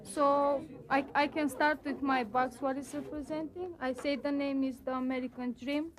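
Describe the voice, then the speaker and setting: A nineteen-year-old woman from Romania, a student whose first language is Romanian, explaining her class project haltingly and searching for words. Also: high voice, fairly even intonation, poor audio. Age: teens.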